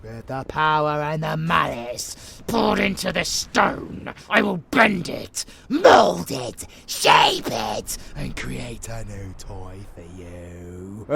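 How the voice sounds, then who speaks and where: A man playing a sadistic, gleeful vampire abomination in an audio roleplay, with a growling, gravelly voice and a theatrical, taunting manner. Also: fairly high pitch, big pitch swings, crowd noise, exaggerated voice. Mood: gleeful, sinister. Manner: theatrical, menacing, taunting, drawn-out phrasing. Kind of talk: monster ASMR roleplay acting.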